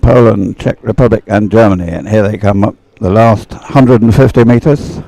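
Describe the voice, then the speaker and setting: A man giving live sports commentary on boat racing, speaking in a hesitant, unhurried, explanatory way. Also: lowish voice, vocal fry, warm tone, no echo. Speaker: race commentator.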